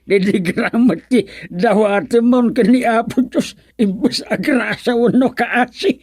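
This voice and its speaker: A woman's voice: a little high, a little slow, darkish tone, husky, no room sound, studio quality. A woman acting an amused, scolding village official in a radio comedy drama, with a Filipino accent and chiding interjections.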